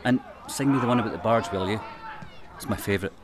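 A man's voice: lowish voice, fast talker, a crowd in the background, natural voice. A man playing a firm but kindly street policeman. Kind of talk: radio drama acting.

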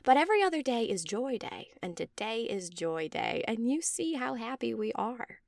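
A woman's voice: a little high, sing-song, normal speed, gravelly, no room sound, studio quality, pitched above the performer's own voice. A woman voicing a smug, preachy male commune leader in a story, speaking with insistent cheer. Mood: forced cheer. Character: Bill, smug tall male leader of a joy-obsessed commune. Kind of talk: scripted story dialogue.

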